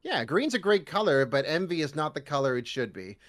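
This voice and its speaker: A man's voice: fairly high pitch, sing-song, brisk. A Canadian man chatting casually as a podcast guest, animatedly slipping into mock voices when he quotes people. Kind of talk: casual interview chat.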